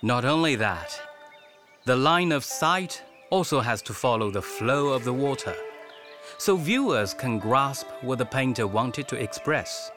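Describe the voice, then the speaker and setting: An actor playing a calm, dignified emperor in a radio drama, speaking with measured authority. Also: male voice, medium pitch, music in the background, natural voice. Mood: approving, instructive. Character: emperor, calm, dignified, commanding patron of painters.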